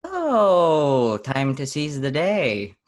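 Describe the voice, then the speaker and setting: Man acting proud, halting veteran newsboy. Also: fairly high pitch, huge pitch swings, exaggerated voice. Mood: proud, genial. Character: proud, genial veteran New York newsboy. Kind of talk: improvised comedy sketch spoofing old radio plays.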